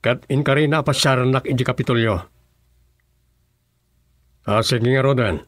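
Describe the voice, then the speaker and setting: Authoritative mid-pitched governor played by a man. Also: normal speed, close to an everyday voice. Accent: Filipino. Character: male governor, authoritative official giving instructions. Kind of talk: radio drama acting.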